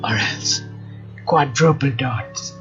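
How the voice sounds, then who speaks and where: A man's voice: mid-range voice, takes it slowly, dull audio. A man narrating a let's play, reading game text aloud and adding informal, joking asides. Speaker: let's player. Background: game music.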